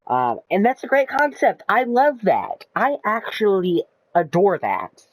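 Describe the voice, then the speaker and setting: A man's voice: high-pitched, measured pace, no echo, poor audio. Rambling man with a dry close sound. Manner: rambling, lots of ums and uhs, excitable; casual swearing. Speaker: gaming YouTuber. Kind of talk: casual rambling game commentary.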